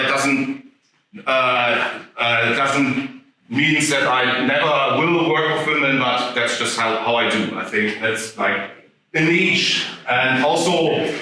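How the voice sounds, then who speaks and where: Man, live speaker, bright voice, picked up from afar in an echoey room. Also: mid-range voice, recorded from a distance, slight background noise. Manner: animated, addresses the audience directly. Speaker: speaker giving a talk. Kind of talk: live talk to an audience. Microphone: no microphone, picked up from afar.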